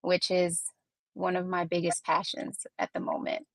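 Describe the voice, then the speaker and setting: A woman from Puerto Rico, a guest on a podcast, answering questions in a measured, explanatory way. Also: lowish voice, creaky, no room sound. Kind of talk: conversational interview answers.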